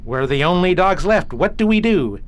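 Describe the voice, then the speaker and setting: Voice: mid-range voice, big pitch swings, moderate pace, lightly acted. An actor playing a weary, loyal space detective in an audio drama, blunt and incredulous in manner. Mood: wry, weary.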